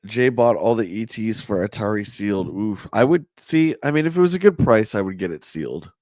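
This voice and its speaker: A man with a hoarse voice hosting a casual livestream, chatting and answering viewer comments. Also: on the low side, lively intonation, fairly quick, a little mellow, no echo, studio quality. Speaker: livestream host. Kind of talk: casual live chat reading a magazine.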